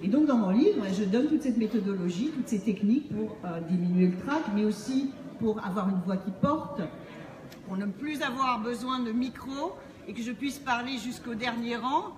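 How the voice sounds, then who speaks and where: A woman's voice: on the high side, normal speed, a little mellow. A middle-aged woman presenting her book to an audience in a humorous storytelling style, speaking into a stage microphone. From Montreal, Canada. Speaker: author and acting-based speaking trainer. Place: on stage in a large book fair hall. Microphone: handheld microphone through PA, recorded by distant camera. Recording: echoey hall from far off, faint noise.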